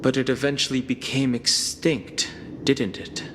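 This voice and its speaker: An actor playing an earnest, knowledgeable young hero's companion in a video game, explaining in a measured way. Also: male voice, medium pitch, level tone, noisy background, natural voice. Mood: questioning.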